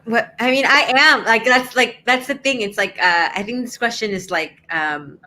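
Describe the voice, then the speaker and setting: A woman from Mexico speaking as a guest in a casual online discussion, explaining things haltingly as she thinks aloud, with faint noise behind her. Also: fairly high pitch, bright, forceful tone. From born in Mexico. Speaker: panel guest. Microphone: live video call.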